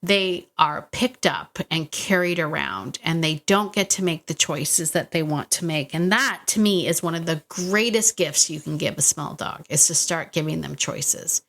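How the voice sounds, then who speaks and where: Female podcast host, slightly low voice. Informal, encouraging, chatty solo delivery that wanders and doubles back. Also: normal speed, hardly any pauses, a bit bright. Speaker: podcast host and dog trainer. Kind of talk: casual solo podcast talk addressed to the listener.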